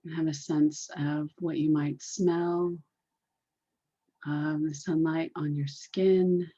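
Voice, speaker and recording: A woman leading a guided meditation in a deliberate, soothing, repetitive way, on a dull, muffled recording. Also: low-pitched, steady tone, dark tone.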